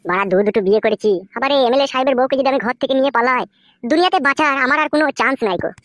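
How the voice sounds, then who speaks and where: A performer voicing an anxious cartoon village man with a high voice and very fast speech. He frets and whines in crude words, a coward in love, and the sound is dry and close. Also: a bit bright, dry recording. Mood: worried, reluctant. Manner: whiny, fretful, crude. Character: anxious, cowardly male village lover, whining and cursing. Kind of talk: comic cartoon dialogue.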